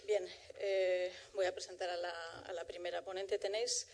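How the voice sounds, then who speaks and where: A woman moderates a professional conference, covering practical notes and introducing the first speaker. Her pitch stays flat and even throughout. She speaks in a measured way, often pausing and restarting her sentences. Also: creaky, soft background music. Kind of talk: formal event introduction.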